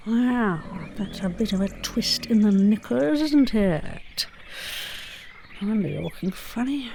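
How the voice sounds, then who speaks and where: A woman's voice: mid-range voice, lively intonation, a little slow, vocal fry, dark tone, a little hiss or hum. A British woman playing a flustered, chatty, scatterbrained spaceship crew member in a comedy audio drama. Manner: flustered, rambling, polite.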